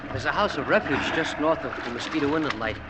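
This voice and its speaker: Male actor as an insistent, anxious sea cook in a radio play, fairly high voice against loud water. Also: fast talker, very noisy, lightly acted. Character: ship's cook adrift, stubborn, anxious, grumbling man. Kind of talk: 1940s-50s radio acting.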